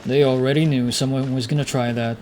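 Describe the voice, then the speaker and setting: A man's voice: mid-range voice, steady tone, brisk, darkish tone. A man giving informal, slangy playthrough commentary and reading game text aloud. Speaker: gaming content creator. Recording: faint background noise from game.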